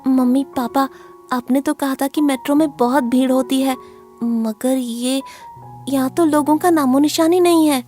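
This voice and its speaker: Lady doing a scared little boy, high voice, kinda slow n hesitant, cartoon horror story. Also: flat delivery, dark tone, soft background music. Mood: uneasy, puzzled. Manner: nervous, hesitant, questioning. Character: frightened young boy, anxious son in horror tale. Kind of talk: animated Hindi horror story dialogue.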